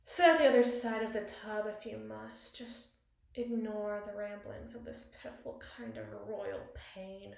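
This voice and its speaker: A woman playing a battle-scarred, commanding evil queen in an intimate ASMR roleplay, speaking formally and wistfully with deliberate, theatrical pauses. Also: medium pitch, steady tone, vocal fry. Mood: wry, resigned. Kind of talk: ASMR roleplay, slow dramatic monologue. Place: small bathroom, indoors. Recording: echoey tiled bathroom.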